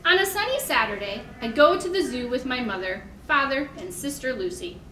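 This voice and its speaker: A woman leading a toddler storytime in simple short phrases, with an animated, sing-song, encouraging manner. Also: sits high, a little fast, slight background noise. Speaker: children's librarian leading storytime. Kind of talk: toddler storytime, singing-along instruction and read-aloud. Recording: echoey room.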